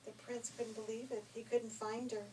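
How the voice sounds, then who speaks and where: A woman's voice: on the high side, moderate pace. Older woman telling stories, slightly distant mic. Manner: folksy, expressive, chatty. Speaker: storyteller. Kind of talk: informal read-aloud fairy tale storytelling with asides. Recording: distant mic with faint background noise.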